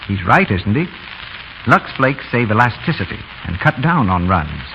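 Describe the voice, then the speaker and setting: Hiss and muffling mark this old radio broadcast. A man announces in a lowish voice with very lively intonation. His delivery is polished, scripted and salesmanlike. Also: normal speed. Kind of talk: scripted 1930s radio advertising. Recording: dull, noisy 1930s radio.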